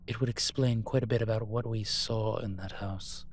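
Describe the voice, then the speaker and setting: A man playing a suave incubus demon professor in a roleplay audio drama, measured, wry and teasing. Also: fairly low pitch, fairly even intonation, slight background noise, close to an everyday voice. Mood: measured, scholarly.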